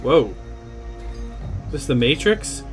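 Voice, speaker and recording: A man's voice: mid-range voice, big pitch swings, slow pace, faint music behind. A man commentating his video game playthrough in a playful, joking way, humming along and teasing the characters. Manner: playful, hums and jokes at the screen. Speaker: let's play gamer. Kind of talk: let's play commentary.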